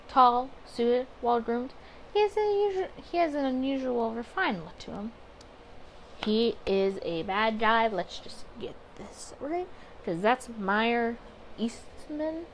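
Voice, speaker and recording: A woman's voice: fairly high pitch, a little hiss or hum, rough recording. A woman doing a casual game playthrough, chatting expressively as she reads story text aloud and reasons through her reactions. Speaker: gaming video creator.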